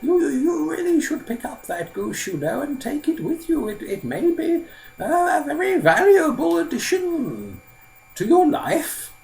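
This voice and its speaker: A man voicing a kindly old fairy-tale man who talks haltingly, drawing out and repeating words. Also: extremely high pitch, sing-song, gravelly, darkish tone, pitched above the performer's own voice, heavily acted, rough recording. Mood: gently helpful.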